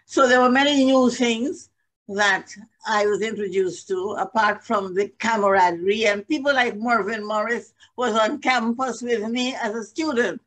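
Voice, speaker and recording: A woman's voice: a little high, normal speed, fairly bright tone. An older woman from Guyana, an alumna, sharing memories in an earnest, composed way on a video call. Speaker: alumna guest. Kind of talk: brief reminiscence on a video call.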